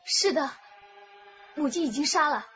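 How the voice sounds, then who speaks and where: A high-voiced, distraught young daughter. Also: female voice, lively intonation, moderate pace, fairly bright tone, dull audio, soft background music. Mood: defensive. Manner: pleading, insistent. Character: distraught young daughter defending, then questioning her father. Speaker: actor in a radio drama. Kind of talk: emotional radio drama acting.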